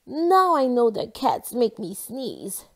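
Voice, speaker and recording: Woman as young storybook boy, cheerful, earnest, expressive, raised lively pitch. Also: slow, full character voice. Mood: earnest, grateful. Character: Jasper, cheerful, grateful young boy with allergies, storybook friend.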